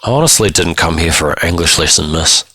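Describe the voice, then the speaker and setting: A man's voice: sits low, fairly quick, sharp tone, dry recording. A man voicing a sullen, defensive teenage boy in trouble, slangy and grudging, within a dramatised story. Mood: irritated. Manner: grudging, slangy, self-justifying. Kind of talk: dramatised short story reading.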